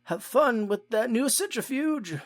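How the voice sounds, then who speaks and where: A man's voice: very high voice, lively intonation, measured pace, no echo, soft background music, heavily acted. A man acting in an audio drama as a stern, emphatic pony scientist. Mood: composed.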